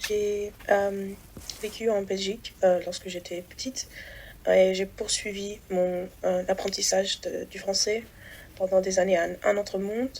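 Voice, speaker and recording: A woman's voice: mid-range voice, flat delivery, unhurried, darkish tone, a little hiss or hum. A young woman giving a personal testimony, hesitant and full of fillers. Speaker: testimonial speaker.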